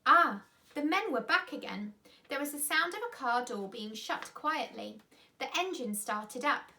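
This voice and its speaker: A woman's voice: high-pitched, expressive, a little slow, pushed and bright. A woman reading a children's story aloud expressively, with dramatic emphasis. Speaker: storyteller, school reader.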